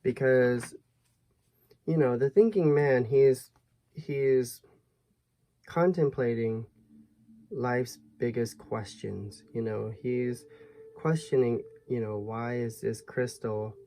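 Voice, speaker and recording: A man giving a tarot reading to viewers in a soft, musing way, his voice a little hoarse. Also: medium pitch, takes it slowly, long pauses, slight background noise. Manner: soft, musing, thinks aloud with fillers. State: slightly hoarse, losing his voice. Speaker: tarot reader.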